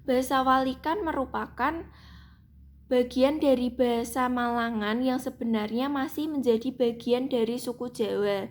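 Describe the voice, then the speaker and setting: A young woman reads her seminar paper aloud in a fairly high voice. She keeps a steady, even reading pace and now and then restarts a word. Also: normal speed. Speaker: student presenter.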